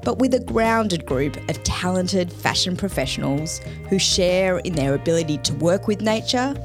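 A woman's voice: on the low side, expressive. Polished woman narrating over guitar. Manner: measured, polished delivery. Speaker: podcast host and stylist. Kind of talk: scripted intro narration. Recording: studio voice-over over guitar music. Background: guitar music.

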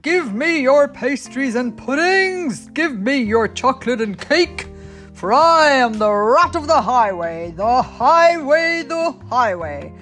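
Woman as a greedy rat bandit, loud and gloating, slow sing-song threats, soft music behind. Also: animated, full character voice. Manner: booming, gloating, drawn-out chant. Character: greedy bullying male rat highwayman.

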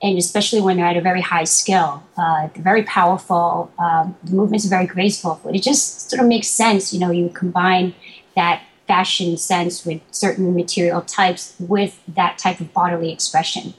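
A woman's voice: lowish voice, steady tone, moderate pace, poor audio. A young woman from Boston, a martial artist being interviewed, speaks thoughtfully with many fillers and restarts. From Boston, United States. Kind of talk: casual interview talk.

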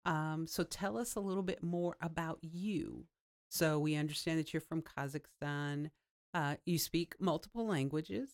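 A woman's voice: deep voice, measured pace, darkish tone. A woman from the United States hosting an interview, affirming her guest often and talking in long winding turns. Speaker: interview host.

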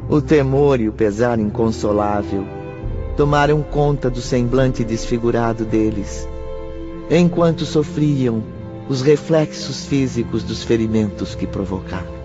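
A Brazilian actor as the solemn, grave narrator of a radio drama. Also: male voice, mid-range voice, lightly acted. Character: solemn omniscient male storyteller. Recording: muffled radio drama under prominent music.

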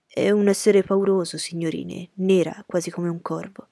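A woman's voice: on the low side, steady tone, moderate pace, gravelly, warm tone, no room sound, clean studio sound. A woman reading the part of a deferential, uneasy male servant bringing messages in an audiobook story. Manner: deferential, hesitant.

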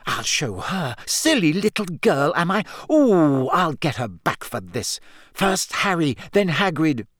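Man voicing a bossy, indignant teenage schoolgirl, raised pitch. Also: big pitch swings, normal speed, no echo. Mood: defiant. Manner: emphatic, sharp-tongued.

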